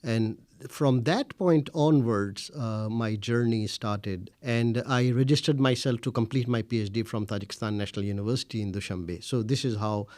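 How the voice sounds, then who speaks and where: A middle-aged man from India whose first language is Urdu, giving measured, formal interview answers with frequent pauses. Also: mid-range voice, a little mellow, no echo, studio quality. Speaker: interviewee, scholar.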